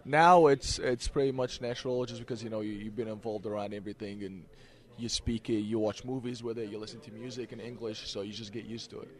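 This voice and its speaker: A young man from Egypt whose first language is Arabic, speaking English softly as a guest in an interview and laughing often. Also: fairly low pitch, quick pace, hardly any pauses. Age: young adult. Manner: soft-spoken, laughs often, relaxed. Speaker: college basketball player, guest.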